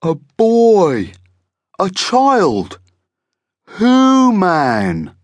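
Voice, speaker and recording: A man's voice: high voice, wildly swooping pitch, drawn-out words, dry recording, voice pushed up, heavily acted. A man voicing a huge, friendly, chatty male monster who proudly runs a diner, in a children's story. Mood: curious.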